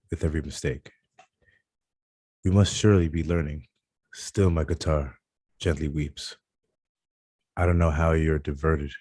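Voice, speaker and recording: A man's voice: a bass voice, mellow, slightly rough, dry recording, studio quality, exaggerated voice. A man playing a solemn narrator who recites poetic lyrics in a script reading.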